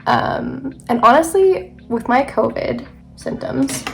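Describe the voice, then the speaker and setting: Chatty young woman, gravelly, a bit congested. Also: high-pitched, expressive, slow, faint music behind. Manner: chatty, rambling, self-correcting. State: congested from allergies. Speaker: beauty vlogger. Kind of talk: chatty makeup tutorial.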